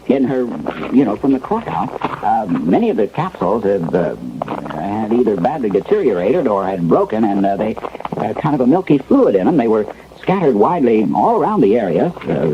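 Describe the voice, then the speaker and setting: A man's voice: mid-range voice, very animated, fairly quick, muffled recording, noisy background, natural voice. A man playing a shaken studio news anchor in a 1970s radio drama, stammering and fumbling for words on an old recording. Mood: grave, uneasy. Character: shaken male radio news anchor reporting alien invasion.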